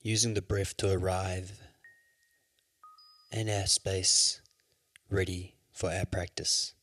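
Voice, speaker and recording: A man leading a guided yoga nidra meditation as an instructor, with soothing, measured instructions. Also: deep voice, little pitch movement, fairly bright tone, no echo.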